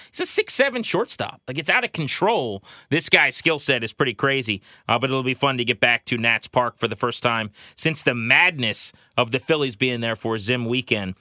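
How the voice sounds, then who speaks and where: Bright, forceful man hosting a baseball podcast. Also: medium pitch, no echo, studio quality. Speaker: sports podcast host. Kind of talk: energetic baseball show talk.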